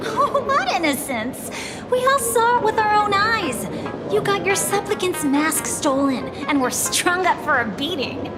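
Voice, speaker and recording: Tiny mocking game creature, squeaky high, animated, sarcastic, taunting. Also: female voice, a little slow, over loud music, exaggerated voice, poor audio. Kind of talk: anime-style game voice acting.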